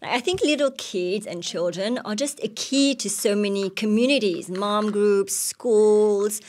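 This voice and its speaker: A woman's voice: medium pitch, a little slow, darkish tone. Woman in her thirties from Mauritius, interviewed. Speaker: missionary interviewee. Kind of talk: personal testimony in an onstage interview. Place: indoor stage with curtain backdrop. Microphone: handheld microphone.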